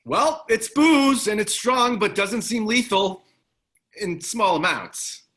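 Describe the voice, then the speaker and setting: A man's voice: high-pitched, lively intonation, slow, fairly bright tone, full character voice. A man reading the part of a wry, easygoing ex-soldier in a screenplay table read, with a casual, laconic delivery. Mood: wry, teasing. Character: wry, easygoing ex-military man, protective ally. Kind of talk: screenplay table read, naturalistic dramatic acting.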